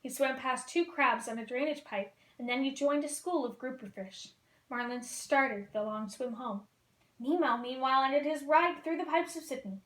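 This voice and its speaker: Lady reading a kids' storybook, high voice, lively, echoey room. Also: moderate pace. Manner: lively, expressive. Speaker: costumed storyteller. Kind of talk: reading a picture book aloud to children. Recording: roomy echo.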